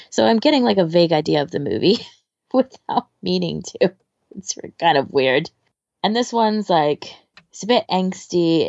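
A woman's voice: medium pitch, gravelly, a little mellow, dry recording, highs cut off. A woman in casual, informal chat as a podcast co-host, rambling and hesitant as she gives recommendations, often trailing off. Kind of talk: casual chat, recommending fan fiction audio.

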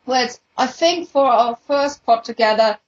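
A woman with a husky voice talking casually in a podcast conversation. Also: a little high, moderate pace. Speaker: podcast participant, fan event organiser. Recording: dull, lo-fi voice recording.

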